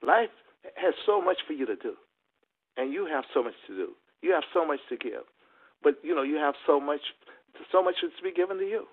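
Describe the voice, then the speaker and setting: A man giving a motivational talk in a preacher-like style, with emphatic, deliberate phrasing and repeated refrains. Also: mid-range voice, husky. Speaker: motivational speaker. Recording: muffled lo-fi conference-call audio.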